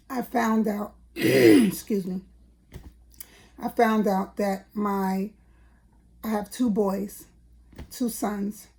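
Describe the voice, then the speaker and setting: A middle-aged woman with a raspy, slightly deepened voice, talking in a casual personal vlog, hesitant with many fillers and earnest repetitions. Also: mellow. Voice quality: raspy, deeper than usual. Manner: earnest, repeats herself for emphasis. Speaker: vlogger.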